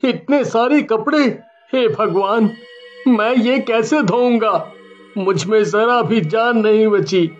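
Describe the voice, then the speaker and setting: Man playing a high-pitched, miserable henpecked young husband. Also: slow, dull audio, faint music behind. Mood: despairing, tearful. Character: miserable, overworked young husband enslaved by a witch. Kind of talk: Hindi cartoon horror story acting.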